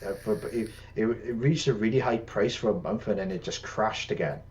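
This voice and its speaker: Deep-voiced man explaining casually. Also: moderate pace, darkish tone, slight background noise. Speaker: caller.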